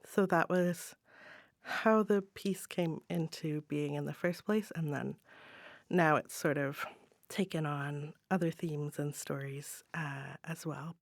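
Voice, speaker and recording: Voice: medium pitch, fairly even intonation, takes it slowly, creaky, no echo, studio quality. A guest playwright with a female-sounding voice talking reflectively and thoughtfully about their work in an interview.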